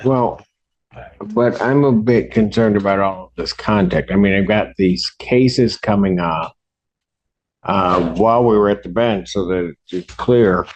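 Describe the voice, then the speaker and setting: Low-voiced male judge, dull recording. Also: a little slow. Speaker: judge presiding over a court hearing. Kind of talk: courtroom ruling from the bench. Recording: dull lo-fi courtroom audio.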